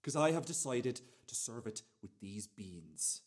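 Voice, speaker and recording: A fussy, defensive man played by a male actor boasts in a radio drama monologue. His husky, breathy voice swings animatedly, with halting, emphatic repetitions. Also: medium pitch, sing-song.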